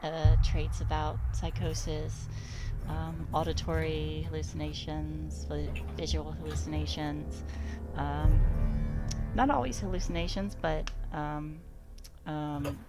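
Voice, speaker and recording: A low-voiced woman speaks slowly and haltingly on a casual game stream, raspy from a recovering sore throat. Loud music plays behind her voice. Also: steady tone. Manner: halting, hesitant explanations. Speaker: streamer playing a video game. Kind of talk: casual live stream chat. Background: music under the voice.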